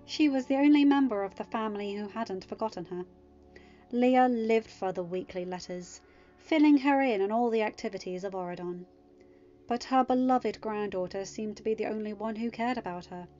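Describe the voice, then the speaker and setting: A woman reads a fan fiction story aloud with expressive delivery. Her voice sits at an ordinary middle pitch. The recording is a little muffled, with quiet music playing underneath. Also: normal speed.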